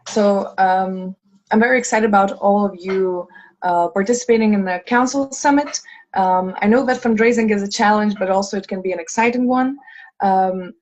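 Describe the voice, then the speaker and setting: A woman's voice: medium pitch, normal speed. A woman in her twenties from Lithuania, head of a fundraising team, talking earnestly on a video call with many fillers and restarts. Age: 20s. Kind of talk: casual video call chat. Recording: dull lo-fi sound.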